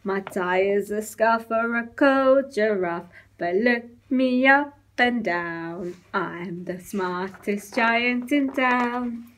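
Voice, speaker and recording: A woman's voice: fairly high pitch, slow pace, a bit bright. A woman voicing a kind, cheerful, helpful male giant in a storybook, in an upbeat singsong manner. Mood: singing proudly.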